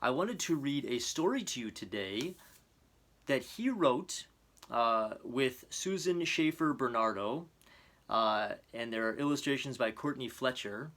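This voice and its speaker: A man presenting and reading a children's storybook aloud in an earnest, expressive, measured way. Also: mid-range voice. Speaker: storybook reader.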